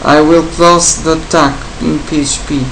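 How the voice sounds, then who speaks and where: A man from Bulgaria with a Bulgarian accent, English not his first language, giving a software tutorial in short, plain step-by-step instructions. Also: on the high side, fairly even intonation, a little slow, creaky, a little hiss or hum, low-quality recording. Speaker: tutorial presenter. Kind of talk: screen-recorded software tutorial.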